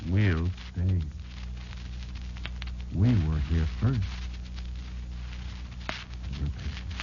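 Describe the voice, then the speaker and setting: An actor playing a tiny, embittered insect elder who patiently instructs a child in a dramatic, halting radio-play monologue. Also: male voice, low voice. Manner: dramatic, halting, deliberate storytelling with emphatic swings. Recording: muffled, very noisy old radio recording.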